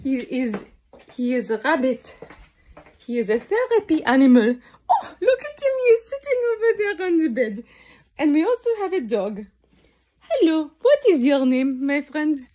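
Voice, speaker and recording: Woman putting on a high, silly French doctor clown. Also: sing-song, dark tone. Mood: excited, playful. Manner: halting, apologetic, playful. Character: clumsy, silly French lung doctor clown entertaining children. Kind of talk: improvised children's show skit.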